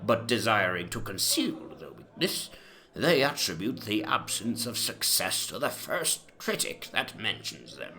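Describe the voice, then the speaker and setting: Dramatic memoir reading: male actor as pompous, very old gentleman, slow and gravelly, rambling in antiquarian style. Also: lowish voice, sing-song, full character voice. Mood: reminiscing, pompous.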